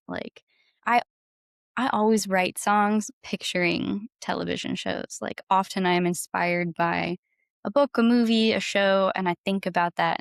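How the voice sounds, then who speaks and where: A woman's voice: lowish voice, gravelly, dry recording, studio quality. A woman chatting casually as an interview guest, informal and effusive, peppering her sentences with "like". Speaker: interview guest, singer-songwriter. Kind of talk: casual chat.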